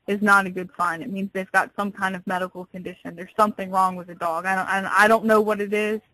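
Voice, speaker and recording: A woman's voice: medium pitch, level tone, quick pace, sharp tone, no room sound. Patient woman on a dry phone call. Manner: patient, explanatory, hesitant. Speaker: pet store employee. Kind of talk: customer service phone call. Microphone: telephone.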